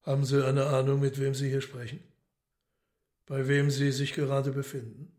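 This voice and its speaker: An actor playing Satan as an urbane, commanding gentleman in a radio drama, probing and ironic. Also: mid-range voice, monotone, moderate pace, natural voice.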